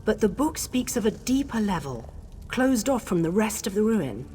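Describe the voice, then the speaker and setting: A woman voicing an earnest, composed scholar in a video game, with a measured, formal way of explaining things. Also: medium pitch, sing-song, warm tone, a little hiss or hum, natural voice. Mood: intent, explanatory. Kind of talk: video game character acting.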